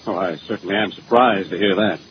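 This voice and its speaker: An actor playing a smug, evasive murder suspect in a 1940s radio drama, glib and mock-innocent. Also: male voice, low voice, fast talker, lightly acted. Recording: muffled, noisy old radio recording.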